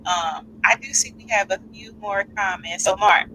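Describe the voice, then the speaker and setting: A woman hosting a live call-in podcast, chatty and animated, with speech and reading out listener comments. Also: medium pitch, moderate pace, a little hiss or hum, low-quality recording. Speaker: podcast host.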